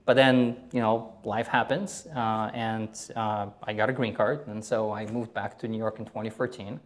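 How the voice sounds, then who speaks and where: A man's voice: on the low side, level tone, normal speed. A man in his forties, from Ukraine and raised in Israel, talking candidly as a podcast guest about his life and startups. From Ukraine, grew up in Israel. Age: 40s. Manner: candid, rambling, self-deprecating laughs. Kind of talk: casual interview conversation.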